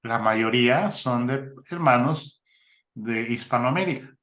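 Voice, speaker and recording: A man with a mid-pitched voice hosting an interview. He affirms his guest often and talks with many fillers and restarts. The sound has an echoey room. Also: measured pace, fairly bright tone. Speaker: interviewer, mission ministry host. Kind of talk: conversational interview. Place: Spain.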